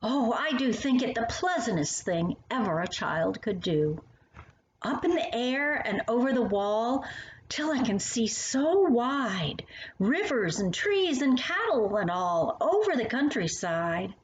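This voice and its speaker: A woman reading poems and stories aloud to young children in an expressive, animated manner, speaking directly to the listener. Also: on the high side, takes it slowly. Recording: roomy, muffled sound with faint noise.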